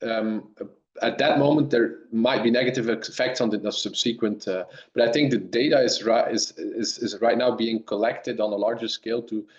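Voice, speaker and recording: Halting Belgian man on a roomy call. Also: medium pitch, fairly even intonation. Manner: halting, many restarts and ums. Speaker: dairy science professor. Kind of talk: webinar Q&A answers. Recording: roomy, dull lo-fi sound.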